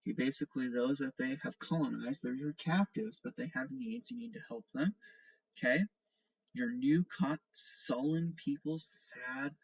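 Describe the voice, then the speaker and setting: A man's voice: medium pitch, very animated, normal speed, gravelly, breathy edge, rough recording. A man teaching seventh grade remotely, giving step-by-step instructions and explanations and often checking in with okay and all right. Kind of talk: remote lesson instructions and read-aloud.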